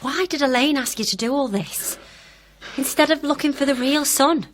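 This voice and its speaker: A woman's voice: high voice, moderate pace, slight background noise, lightly acted. An actress playing a determined, sceptical woman who questions people persistently and directly in a radio drama. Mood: gently probing.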